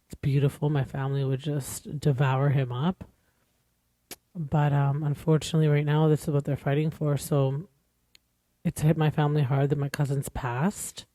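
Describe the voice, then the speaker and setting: A woman's voice: low-pitched, level tone, normal speed, mellow, no room sound. Lady in her 40s just chatting on her podcast, kinda rambly and earnest, dry close sound. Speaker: podcast host. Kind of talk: casual podcast monologue.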